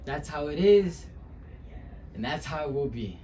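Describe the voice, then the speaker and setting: Over a noisy room recording comes a wounded young Mexican-American man, played by a man in a dramatic stage monologue. His voice sits a little high, and he speaks very slowly, with heavy pauses as he looks back on a hard childhood. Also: expressive, dark tone. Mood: wistful, earnest. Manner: dramatic, halting delivery with long pauses. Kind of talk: dramatic interpretation monologue, speech-competition acting.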